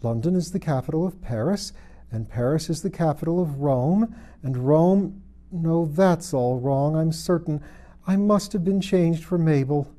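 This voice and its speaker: A bewildered little storybook girl, acted out by a man, pitched up high above his narration. The voice is prim and exclamatory, fretting and feeling sorry for herself as she chatters away. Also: unhurried, warm tone, natural voice. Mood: puzzled, fretful. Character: bewildered young girl, chatty, fretful and self-pitying. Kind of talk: storybook character voice.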